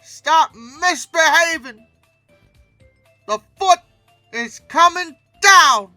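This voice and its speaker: A man voicing a stern, exasperated parent who scolds loudly and emphatically in a comic commentary video. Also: extremely high pitch, lively intonation, extremely slow, no echo, faint music behind, voice pushed up, exaggerated voice. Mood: exasperated scolding.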